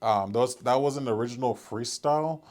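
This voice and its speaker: A man with a deep, loud voice, talking in a casual, rambling way with many fillers and restarts. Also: moderate pace. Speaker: aspiring singer. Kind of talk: casual chat.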